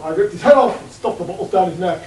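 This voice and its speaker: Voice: medium pitch, lively intonation, quick pace, a little mellow, slight background noise, natural voice. A performer playing a dim, hapless henchman in a comic radio play, defensive and guileless. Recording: echoey room, distant mic.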